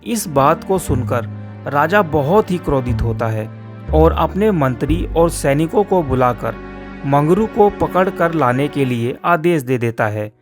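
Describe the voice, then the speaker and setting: A man's voice: a little high, animated, unhurried. A man narrating a children's cartoon story in a scripted storybook style. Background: soft guitar music.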